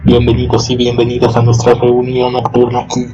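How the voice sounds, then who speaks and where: A man hosting a horror radio show in a slow, solemn, ceremonious manner. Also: medium pitch, completely flat delivery, mellow, faint music behind. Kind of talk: scripted radio drama hosting. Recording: dull lo-fi sound.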